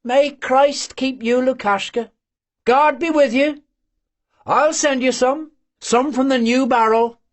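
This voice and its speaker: A man voicing an old peasant mother who speaks slowly and earnestly to her son. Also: extremely high pitch, voice pushed up. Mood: blessing. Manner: slow, earnest, admonishing.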